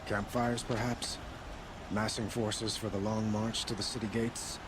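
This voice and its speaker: A man's voice: lowish voice, little pitch movement, unhurried, lightly acted. An actor playing a cautious male soldier on watch in a video game. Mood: wary. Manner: questioning, cautious. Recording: game mix with clear background noise.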